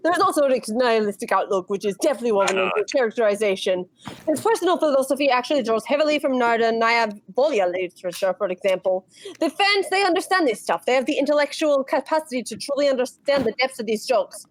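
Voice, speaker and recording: High-pitched woman bantering on a livestream. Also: animated, fast talker, low-quality recording. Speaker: comic dub cast member. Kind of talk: casual group banter on a livestream.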